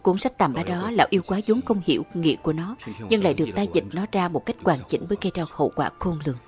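A woman voicing a cocky, loyal young male warrior in an animated fantasy dub, composed and wry. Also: fairly low pitch, fast, over music, close to an everyday voice. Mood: reflective.

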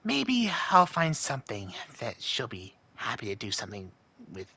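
A man's voice: medium pitch, huge pitch swings, normal speed, bright, forceful tone, breathy edge, pitched below the performer's own voice, full character voice. A man voicing a nervous, lovestruck cartoon imp husband who rambles in an anxious, hesitant way. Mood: nervous, tender. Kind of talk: in-character fan monologue in cartoon style.

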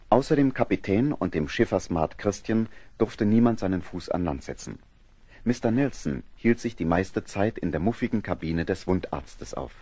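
A man narrating a German radio play from a script, in a husky voice. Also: deep voice, darkish tone, no echo.

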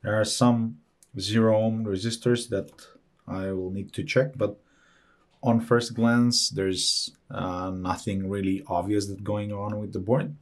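A man hosting an electronics repair video, walking through a teardown at an unhurried pace and thinking aloud as he goes. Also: fairly low pitch, little pitch movement. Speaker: electronics repair channel host. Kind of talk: hands-on repair walkthrough.

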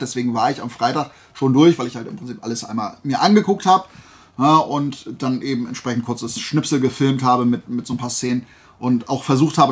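A man chatting casually to viewers like a vlogger, in a rambling, digressive and self-deprecating way. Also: medium pitch. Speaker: YouTube vlogger showing flea market and fair finds.

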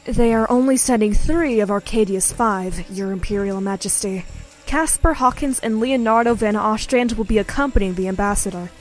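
A woman's voice: medium pitch, normal speed, soft background music, close to an everyday voice, low-quality recording. A woman playing a composed female imperial aide, speaking formally and precisely in an amateur comic dub. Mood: businesslike.

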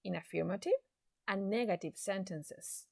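A woman teaching an English lesson to secondary students, speaking slowly and deliberately with an encouraging classroom manner. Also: mid-range voice, gravelly, dry recording, clean studio sound. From Chile. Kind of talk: slow recorded classroom lesson.

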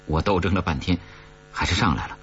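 A man voicing an awkward, earnest, apologetic man in an audiobook, speaking haltingly and politely. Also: deep voice, a bit bright, lo-fi sound, slight background noise. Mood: awkward, friendly. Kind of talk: audiobook character dialogue.

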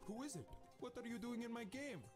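A dismissive, self-important program persona, high pitch, lively pitch. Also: male voice, fairly quick, music in the background. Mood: haughty. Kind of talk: video game voice acting.

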